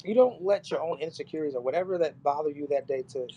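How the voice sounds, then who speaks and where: A man's voice: on the high side, fast, dark tone, lo-fi sound, a little hiss or hum. A man interviewing a guest in casual chat, slangy and enthusiastic, saying yo and bro.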